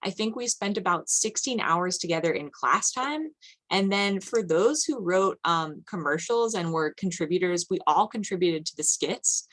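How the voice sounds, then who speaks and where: A woman's voice: fairly low pitch, lively intonation, normal speed, a bit bright. A woman hosting a group discussion conversationally, putting questions and comments to the participants. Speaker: moderator.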